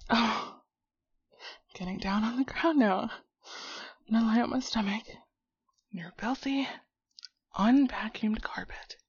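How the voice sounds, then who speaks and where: A woman acts out a brash, protective young female buddy in an audio roleplay drama. Her voice is breathy and husky, with an unhurried, slangy, profane way of talking straight to a friend. The sound is roomy and a little dull. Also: a little high, heavily acted. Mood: bewildered. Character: foul-mouthed, hot-tempered but protective young friend, finding shrunken buddy. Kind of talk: immersive one-sided audio roleplay drama.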